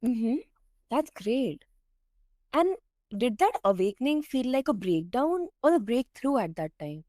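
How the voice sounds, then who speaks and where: A woman's voice: on the high side, big pitch swings, a little slow, dark tone, no echo, studio quality. A woman hosting a podcast, reading a polished introduction and asking interview questions in an upbeat way. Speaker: podcast host.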